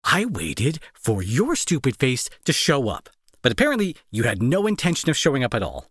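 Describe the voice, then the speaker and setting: Man acting a irritable young bully, wildly swinging pitch. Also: huge pitch swings, moderate pace, fairly bright tone, breathy edge, no room sound, studio quality, full character voice. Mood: irritated, demanding. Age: young adult. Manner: sarcastic, scolding, exasperated. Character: irritable young male bully, gruff but secretly worried. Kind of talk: intimate roleplay audio drama acting.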